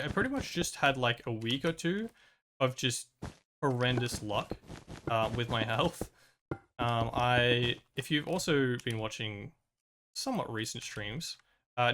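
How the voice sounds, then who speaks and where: A man's voice: medium pitch, a bit bright. Young guy streaming games, rambly chat, lots of ums, voice a bit worn from a cold, noisy mic. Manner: rambling, many "um"s and restarts. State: recovering from a cold and lost voice. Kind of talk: casual chat while playing.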